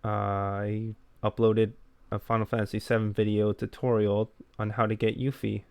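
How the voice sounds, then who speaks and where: A man co-hosting a podcast, chatting casually in a low-key, self-effacing way. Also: little pitch movement, normal speed, gravelly, darkish tone, smooth, no room sound. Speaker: podcast co-host who makes gaming videos.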